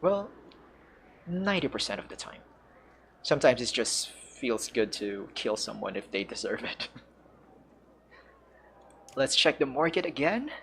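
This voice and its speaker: A man's voice: medium pitch, very animated, measured pace, creaky, long pauses, a bit bright, a little hiss or hum. A man with a Filipino accent giving casual game commentary as a streamer, hesitant and searching for words. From Philippines. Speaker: streamer and English teacher.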